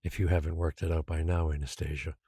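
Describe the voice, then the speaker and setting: An actor playing a patient, controlling guardian who secretly rules an island, speaking in a measured, quietly menacing way in an audio drama. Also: male voice, rumbling low, flat delivery, breathy edge, no room sound, heavily acted. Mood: calm, controlling.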